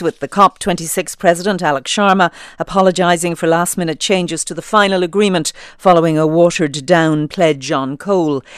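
A presenter reads news links and presses a guest with brisk, probing questions. The voice is smooth and crisp, sitting mid-range, heard dry and close to the microphone. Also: mid-range voice, dry recording. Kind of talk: radio interview and news links.

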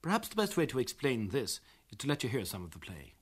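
A man's voice: medium pitch, huge pitch swings, breathy edge. A man, presenting and interviewing in a radio documentary. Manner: measured, articulate. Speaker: host, interviewer.

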